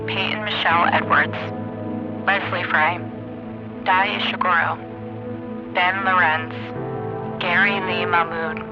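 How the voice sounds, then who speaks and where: A man's voice: sits high, little pitch movement, takes it slowly, pauses often, highs cut off. A man reading scripted podcast credits as an announcer. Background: music.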